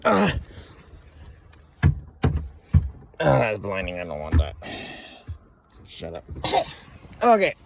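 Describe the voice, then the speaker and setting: A man's voice: low-pitched, wildly swooping pitch, slow, gravelly, pauses often, slight background noise. A man hosting a casual how-to tutorial from inside a truck at night, rambling and grumbling at his gear. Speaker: channel host showing truck repairs.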